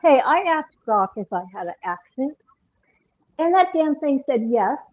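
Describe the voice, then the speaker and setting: A woman guest joins a live stream over a remote line and tells a story with animated laughter. Her voice sits high. The call-in audio sounds dull and muffled. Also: unhurried, heavy background noise. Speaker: guest caller. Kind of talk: casual storytelling.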